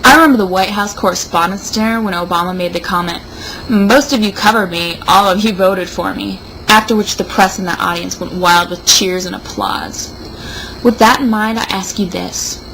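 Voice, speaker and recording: A tipsy woman reading an opinion article aloud in a mock-serious, emphatic, drawn-out way, with wry asides. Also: mid-range voice, fairly bright tone, slight background noise, low-quality recording. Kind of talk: theatrical read-aloud with mocking asides.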